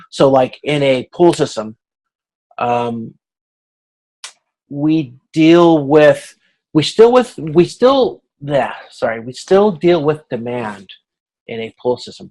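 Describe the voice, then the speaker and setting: A man with a mid-pitched voice leads an informal online class. He explains through examples in a halting, think-aloud way, often correcting himself and checking that listeners follow. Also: rough recording. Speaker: instructor. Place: online video conference.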